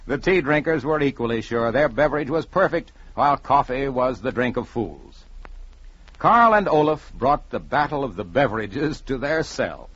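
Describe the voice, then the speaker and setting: Male storyteller for vintage radio: expressive, slightly rough voice, measured drama, muffled old recording. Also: mid-range voice. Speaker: narrator.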